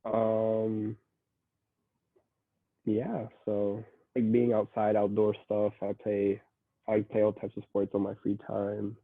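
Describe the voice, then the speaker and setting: A laid-back young man from the Bronx speaks in a low, even monotone. His voice comes through a tinny, phone-like line with a dry sound. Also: takes it slowly, no echo. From Bronx, New York. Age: young adult. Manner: laid-back, flat delivery. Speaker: guest. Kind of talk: casual chat on a video call.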